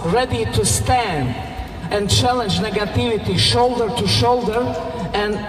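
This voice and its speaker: A man from Bosnia with a Bosnian accent giving a slow, measured rally speech that rises to fervent, raised passages. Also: high-pitched. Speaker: speaker at a solidarity rally. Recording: echoey public-address sound from far off, music behind.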